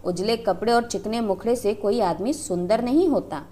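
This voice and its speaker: A woman's voice: mid-range voice, moderate pace, dark tone, natural voice. A woman voicing a devoted young village wife who speaks with passion and pleading. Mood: defiant. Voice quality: impassioned, lamenting.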